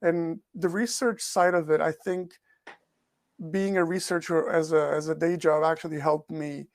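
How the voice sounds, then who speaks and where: Gravelly Portuguese-born author. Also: male voice, on the high side, steady tone, moderate pace, no room sound, clean studio sound. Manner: thoughtful, repeats words as he thinks. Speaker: author and researcher. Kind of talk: podcast interview.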